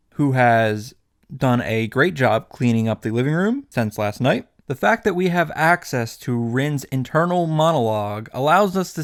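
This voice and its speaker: A man's voice: on the high side, dry recording, clean studio sound. Wry, profane male game recapper. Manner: wry, irreverent, swears for comic effect. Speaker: video game summary host. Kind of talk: sarcastic story recap with asides.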